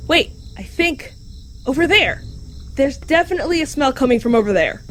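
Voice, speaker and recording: Lively high-voiced woman playing a friendly dog creature. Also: moderate pace, slight background noise. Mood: matter-of-fact. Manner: matter-of-fact, explanatory. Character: shapeshifting dog creature, easygoing, helpful park navigator and translator. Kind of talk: fiction podcast audio drama acting.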